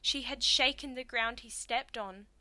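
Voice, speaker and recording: A woman plays a tragic young princess of Greek myth in a stage monologue. The voice is fairly high and clearly bright, recorded very dry. The delivery is impassioned and declamatory, full of wronged anguish. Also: measured pace, dry recording. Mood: agonised, accusing. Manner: impassioned, declamatory, swinging from hushed to outcries. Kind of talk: theatrical dramatic monologue.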